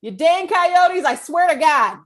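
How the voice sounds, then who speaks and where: A woman's voice: extremely high pitch, expressive, unhurried, fairly bright tone, exaggerated voice. Woman acting a brash, shady dealer with swooping intonation. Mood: breezy, mocking. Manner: cocky, wisecracking. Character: shady, brash woman selling poison and racing coyotes. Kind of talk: comedy pilot table read.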